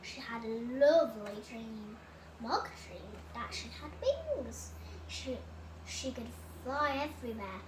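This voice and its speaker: A child reading a children's bedtime story aloud with expressive, dramatic delivery. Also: mid-range voice, slow. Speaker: storybook reader. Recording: roomy echo, loud enough background noise.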